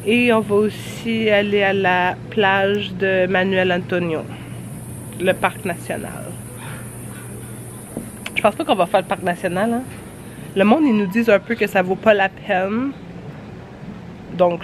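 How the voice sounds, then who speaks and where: A young woman with a Québécois accent and a stuffy cold, chatting casually and laughing often in a travel vlog, filmed outdoors on a handheld camera. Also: medium pitch. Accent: Québécois French. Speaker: travel vlogger. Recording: outdoor ambience with traffic noise.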